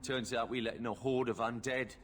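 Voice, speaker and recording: Chatty gruff miner played by a man, noisy surroundings. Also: mid-range voice, steady tone, brisk, a bit bright, lightly acted. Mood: wry, rattled. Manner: blunt, colloquial. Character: gruff, chatty working-class male mine foreman, wryly humorous. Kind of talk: video game dialogue acting.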